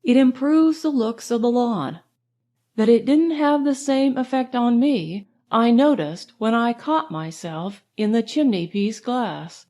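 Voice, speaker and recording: A woman narrating a literary novel in the first person, in a measured, expressive reading style. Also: on the high side. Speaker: audiobook narrator.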